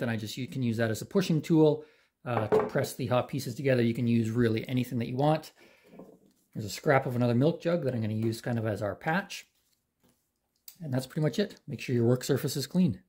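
A middle-aged man from Canada, hosting a do-it-yourself channel, explaining a hands-on repair in a relaxed, plain-spoken way while he works in a garage workshop. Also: medium pitch, slight background noise. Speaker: DIY channel host. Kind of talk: hands-on how-to demonstration.

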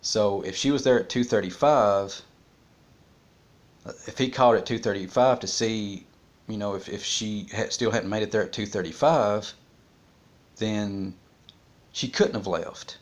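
A man in his forties with a fairly low voice talks off the cuff in a room at home. His delivery is casual and halting, as if working through his reasoning aloud into a device's built-in mic. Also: dull audio. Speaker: vlogger discussing a criminal case. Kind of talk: casual, unscripted monologue. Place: small room at home, indoors.

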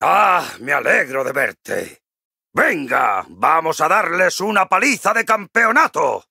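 A man with a Castilian accent from Spain voicing a boisterous, cocky, jovial dwarf warrior for a video game, gruff and raspy, hearty and full of exclamations. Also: a little high, very animated, takes it slowly, pushed and bright, no echo, studio quality, full character voice. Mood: hearty, eager. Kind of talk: video game character voice lines.

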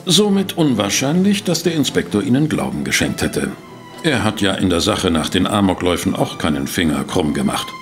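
Casting: sensationalist newspaperman in radio play; lowish voice, excitable, very animated delivery. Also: normal speed. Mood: sardonic. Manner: excitable, dramatic. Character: eager, sensationalist male newspaper reporter, cheeky. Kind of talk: German audio drama acting.

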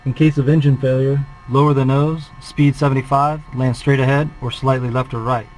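A man's voice: mid-range voice, flat delivery, moderate pace, darkish tone, slight background noise, rough recording. A flight instructor in his forties, calling out a preflight checklist in a methodical, clipped way from a small plane cockpit on a headset.

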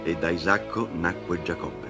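A man giving solemn, scripted narration of a story for an animated film. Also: low voice, normal speed. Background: music.

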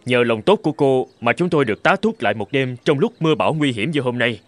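A man's voice: mid-range voice, expressive, fast talker, no echo, close to an everyday voice. Man acting young cartoon traveller, music behind. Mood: polite, reassuring. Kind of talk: animated cartoon dialogue.

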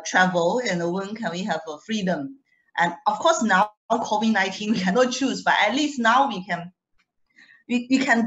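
A woman's voice: medium pitch, a bit bright, muffled recording. Chatty young woman with a non-Singaporean accent. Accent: foreign, not Singaporean. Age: young adult. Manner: chatty, laughs often, restarts words. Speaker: guest speaker. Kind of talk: personal testimonial.

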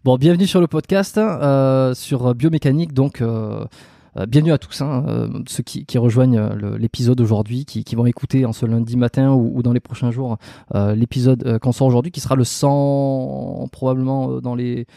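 A man hosts a relaxed interview chat, full of fillers and restarts. His voice is mellow and dark, close on a boom mic in a dry home room. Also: moderate pace, vocal fry, no echo. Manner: hesitant, many fillers and restarts. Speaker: podcast host, osteopath. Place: home room with lamp, video call. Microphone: studio mic on boom arm, close.

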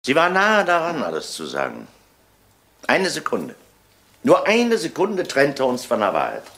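An actor playing an insistent police inspector in a German crime drama, speaking in a commanding, deliberate way. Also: male voice, mid-range voice, wildly swooping pitch, fairly bright tone, heavily acted. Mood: urgent, commanding. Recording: noisy vintage crime drama soundtrack.

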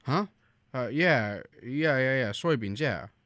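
An actor playing an earnest, flustered, stubborn young small-town man in a radio drama, with a halting, stammering delivery. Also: mid-range voice, lively intonation, a bit bright, no echo, studio quality. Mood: hesitant. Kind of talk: old-fashioned radio drama acting.

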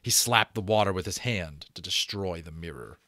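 A man in his thirties reading a novel aloud as an expressive, dramatic audiobook narrator. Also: low voice, moderate pace, dry recording, clean studio sound. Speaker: audiobook reader, voice actor. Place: small home room, indoors. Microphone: close desk microphone.

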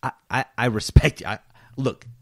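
A man's voice: mid-range voice, moderate pace, gravelly, no room sound, clean studio sound. A man co-hosting a gaming podcast, chatting casually and riffing jokes. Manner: joking, riffing. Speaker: podcast co-host. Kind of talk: casual comedic chat about video games.

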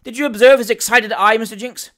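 A storybook reader voicing a pompous male magistrate who blusters loudly and is quick to rage. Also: mid-range voice, steady tone, pitched above the performer's own voice, natural voice. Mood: furious. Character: pompous, irascible male magistrate, thundering and easily enraged.

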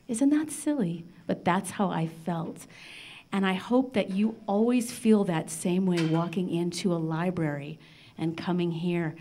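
A middle-aged American woman from western Nebraska presenting a live children's radio show, speaking directly to listeners in simple, deliberate phrases. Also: medium pitch, dark tone. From western Nebraska, United States. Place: large library event room with seated audience. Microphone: microphone on a stand, close in front.

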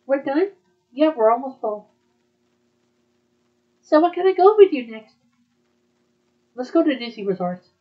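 A woman with a fairly high voice chats in a casual, conversational way. The recording is slightly dull and lo-fi. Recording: dull, muffled lo-fi audio.

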